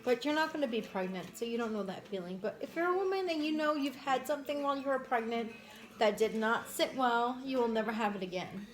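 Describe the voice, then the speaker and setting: A woman with a strong New York accent talks bluntly and emphatically over a meal. Her voice sits a little high. She states her opinions firmly. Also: expressive, brisk, low-quality recording. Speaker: mukbang co-host. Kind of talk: casual chat while eating.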